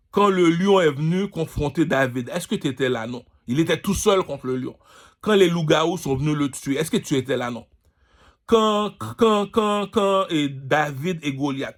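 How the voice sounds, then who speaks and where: A man's voice: on the high side, moderate pace. A man delivering an informal rant, boastful and slangy, repeating himself and firing off emphatic rhetorical questions. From Canada, immigrated at age five. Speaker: singer and vlogger.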